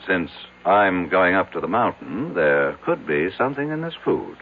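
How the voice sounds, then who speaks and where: A man's voice: low voice, animated, moderate pace. An actor playing a wealthy, suspicious and defiant man in a radio drama, speaking formally and insistently. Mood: wary, defiant. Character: wealthy suspicious male stranger, demanding and defiant. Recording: muffled old radio broadcast recording.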